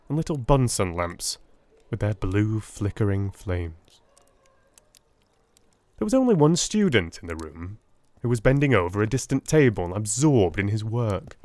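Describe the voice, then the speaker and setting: Guy reading an old novel dramatically, very animated intonation, dry studio sound. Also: on the low side, no room sound. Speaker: audiobook narrator. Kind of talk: dramatic literary narration, first person.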